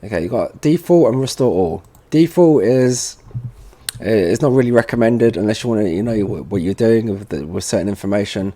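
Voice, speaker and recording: A man with a fairly low, very soft-edged, mellow voice presents a screen tutorial. He talks informally and thinks aloud as he goes through each step. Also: expressive, moderate pace. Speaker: tutorial presenter for a security products seller. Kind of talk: casual software walkthrough narration.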